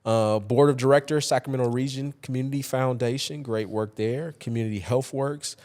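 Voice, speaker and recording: A man hosting a podcast, enthusiastic and quick to laugh, reacting with lively energy in casual conversation. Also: medium pitch, long reverb tail. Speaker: podcast host.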